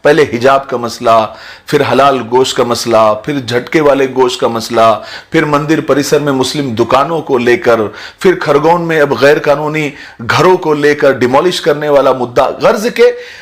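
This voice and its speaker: A man giving an earnest, sermon-like commentary to his audience in a measured, emphatic manner. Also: medium pitch. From India. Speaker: social commentator speaking to his video audience. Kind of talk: earnest explanatory talk, sermon-like.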